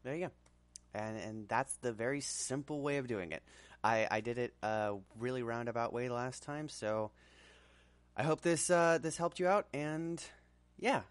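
A man presenting a casual software tutorial in an informal, hesitant way. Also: medium pitch, measured pace, clear, resonant tone, no echo.

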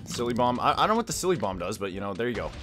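Young male gamer, lively pitch, halting casual banter, faint music underneath. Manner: chatty, halting, repeats phrases. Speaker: gaming video host. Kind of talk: casual banter while playing a kart racing game.

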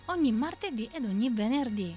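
Solemn woman announcer over soft music. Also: fairly high pitch, animated, brisk. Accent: Italian, Sicilian. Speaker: radio announcer. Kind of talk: scripted closing announcement. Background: faint music.

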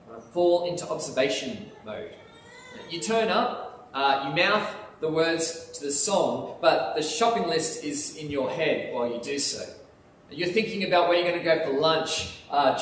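A man preaching a sermon in a church sanctuary, speaking in an animated way with lots of emphasis. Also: a little high, a little hiss or hum. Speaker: pastor. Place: church sanctuary, in front of altar. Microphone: lapel mic, filmed by far camera. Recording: echoey church hall from far off.

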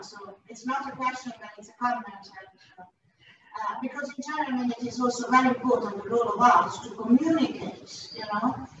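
A woman's voice: fairly high pitch, fairly bright tone. A woman in the audience making a wordy, academic comment, heard from across a room on a video call. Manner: wordy, academic, frequent "you know". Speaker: audience member, political philosopher. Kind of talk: spoken comment at a talk. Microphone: far from a shared device on a video call. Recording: echoey distant muffled room, noisy.

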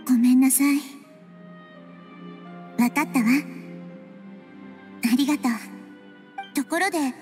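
A woman voicing a gentle, wise, childlike girl deity in a game, speaking politely and with composure. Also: sits high, unhurried, pauses often, faint music behind. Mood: gentle, concerned. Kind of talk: scripted game dialogue.

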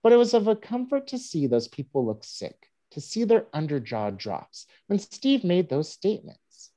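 A woman's voice: fairly low pitch, big pitch swings, moderate pace, dark tone. A woman playing a wry, self-mocking newspaperman who tells tall tales with dry humour in a staged play reading. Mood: wry, mock-rueful. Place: home room on a group video call.

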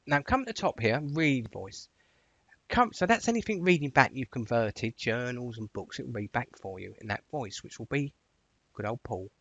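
A man narrating an informal software tutorial in a chatty, directive way, stressing the starts of his phrases. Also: mid-range voice, animated, moderate pace, no room sound, highs cut off. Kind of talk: informal instructional screencast narration.

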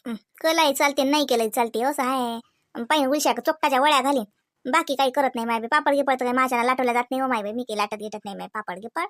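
A proud old village mother-in-law from a cartoon comedy, acted by a woman, grumbles in a Vidarbha Marathi accent. Her talk is earthy and full of rhetorical questions. The voice is bright and closely recorded, with hardly any room sound. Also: sits high, steady tone, quick pace, dry recording, clean studio sound. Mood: matter-of-fact. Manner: colloquial, complaining, rhetorical questions.

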